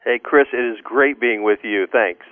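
Through a dry, phone-like connection, an older man chats as a radio interview guest. He explains things haltingly, doubling back on words in a casual manner. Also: medium pitch, no echo. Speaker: guest author and former tour guide.

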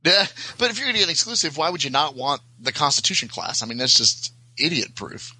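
A man's voice: a little high, big pitch swings, fast, sharp tone, breathy edge, low-quality recording. A man chatting casually as a podcast guest, in a wordy, roundabout way. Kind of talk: casual chat.

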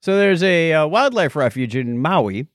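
A man chats casually as a podcast co-host. His slightly high voice swings widely as he jokes and acts out each side of a conversation. The sound is dry, with no room echo. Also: lively intonation, measured pace. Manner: jokey, acts out both sides of conversations. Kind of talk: casual podcast chat, storytelling.

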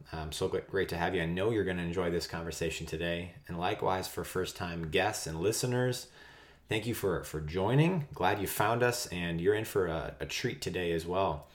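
Deep-voiced devout podcast host. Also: male voice. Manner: halting, many fillers and restarts, devout. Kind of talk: podcast host intro and interview.

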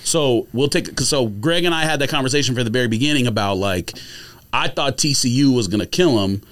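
A man hosting a sports podcast with a lively, swinging delivery. He argues his points emphatically in slangy, casual language, at a middling pitch. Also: brisk. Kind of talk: casual opinionated sports debate.

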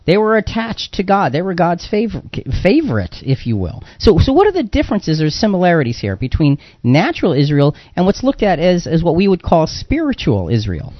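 A man's voice: high-pitched, huge pitch swings, brisk, hardly any pauses, dry recording. A man hosting a conversational religious discussion show. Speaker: host of a Bible discussion show. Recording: dull, lo-fi audio.

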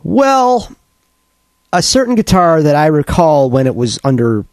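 A man co-hosting a podcast, still congested from a cold, rambling through animated stories with many restarts. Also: a little high, measured pace, no echo. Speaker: podcast co-host. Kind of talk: casual chat.

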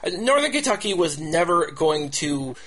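A man with a somewhat high-set voice hosts a casual sports discussion. He speaks in a halting, looping way, often repeating the start of a phrase before carrying it through. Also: sing-song, bright, forceful tone. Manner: halting, repetitive, restarts phrases over and over. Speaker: podcast host.

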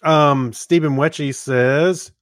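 A man's voice: fairly high pitch, fairly even intonation, slow, no echo. Man in dry-sounding casual podcast chat. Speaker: podcast co-host. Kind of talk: casual chat and reading out listener comments.

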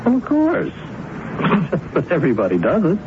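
Sly, lively-voiced publisher, old radio. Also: male voice, fairly high pitch, unhurried. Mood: teasing, persuasive. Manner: hesitant, insinuating, chuckling delivery. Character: sly, worldly male publisher, smoothly persuasive tempter. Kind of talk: 1970s radio drama acting. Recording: muffled, noisy old radio broadcast recording.

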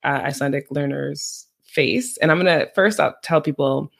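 A podcast host speaking with an easy, rambling chattiness. The voice sits at a middle pitch with a gravelly edge, and the questions wander through several asides. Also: moderate pace. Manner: chatty, rambling with frequent "right?" and "like". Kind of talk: casual interview podcast.

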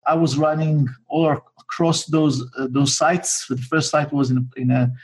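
Husky middle-aged Israeli man, slightly high voice. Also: fairly even intonation, moderate pace. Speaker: interview guest, businessman. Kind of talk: conversational life story in an interview.

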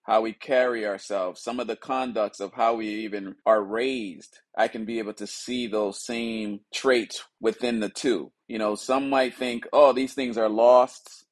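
A man with a fairly low voice speaks as an artist presenting his own work. He comes from Liberia and was raised in the United States. His delivery is unscripted and reflective, with emphatic phrases and conversational asides. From Liberia, West Africa, raised in the United States. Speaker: contemporary mixed-media artist. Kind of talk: explaining own artwork, unscripted artist talk.

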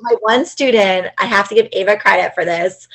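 A woman from New Jersey, a teacher, chatting as a podcast guest over a remote call, bubbly and quick to laugh. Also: mid-range voice, a crowd in the background, rough recording. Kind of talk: casual podcast chat.

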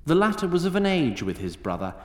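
Male narrator for scripted fantasy drama, animated intonation, echoey room. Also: a little high, fast talker.

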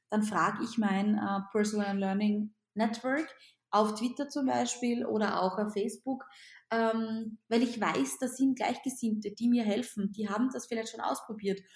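A woman teacher with an Austrian German accent, not a native German speaker, answering interview questions in a lively way, often repeating words as she restarts. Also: on the high side, reverberant.

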